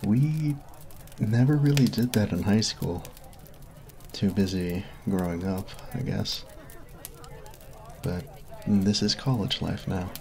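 Low-pitched, slow-spoken goofy college jock, earnest and dark-toned, close-miked. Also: male voice, gravelly. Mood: teasing. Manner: earnest, flustered, apologetic. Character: sweet goofy male college jock, best friend. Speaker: voice actor. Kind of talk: ASMR roleplay. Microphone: close binaural mic.

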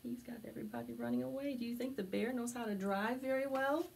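An older woman hosting preschool storytime, reading a picture book aloud with expressive, drawn-out phrasing. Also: on the high side, vocal fry, a little hiss or hum. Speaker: library preschool storytime host. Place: small indoor space with decorated backdrop.

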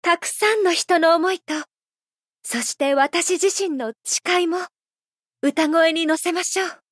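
High-voiced gentle idol singer. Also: female voice, takes it slowly, no room sound, clean studio sound. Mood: sincere, uplifted. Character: gentle, elegant adult female idol singer, serene, playfully affectionate. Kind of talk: Japanese mobile idol game voice lines.

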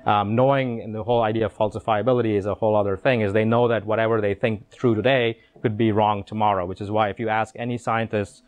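A man's voice: fairly low pitch, steady tone, fast talker, hardly any pauses. A middle-aged man giving a conference keynote talk in a room with an audience, speaking into a handheld microphone, explaining ideas with frequent restarts and repeated words.

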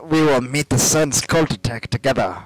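A man's voice: medium pitch, normal speed, low-quality recording. A man bantering casually during a co-op game, playful, foul-mouthed and prone to exclaiming. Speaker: gaming channel player and host. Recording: game music behind the voice. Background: video game music.

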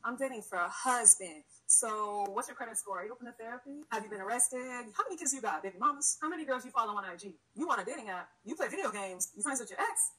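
A woman's voice: on the high side, expressive, quick pace, few pauses, sharp tone, husky, poor audio. A woman in her thirties delivering a blunt, sassy, emphatic comedic monologue to camera. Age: 30s.

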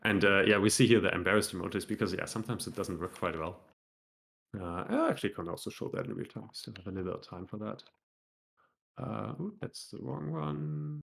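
Low gravelly-voiced man giving a casual tech talk. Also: brisk. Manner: relaxed, self-deprecating, many fillers and restarts. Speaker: software developer presenting a talk. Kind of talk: casual technical meetup talk with live demo. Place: home room on a video call.

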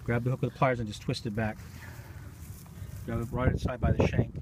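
A man's voice: mid-range voice, little pitch movement, fairly quick. A middle-aged man giving casual running fishing commentary, repeating short encouraging phrases. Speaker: fishing vlog host filming. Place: outdoors on a grassy lake shore. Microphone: handheld camera mic. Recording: very noisy windy outdoor camera audio.

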